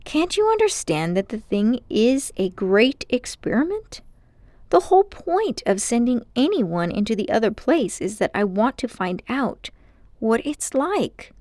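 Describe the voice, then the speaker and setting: A haughty older gentleman magician, acted out by a woman reader, vain and cruel, speaks in grandiose, indignant phrases. The storybook character sounds close and dry. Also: on the high side, very animated, a little slow, no room sound. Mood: impatient.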